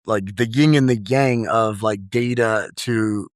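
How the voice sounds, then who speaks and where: A man's voice: on the low side, slow, no room sound, studio quality. A young man hosting a casual podcast conversation, talking in an enthusiastic, chatty way with lots of fillers. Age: young adult. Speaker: podcast host. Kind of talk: casual chat.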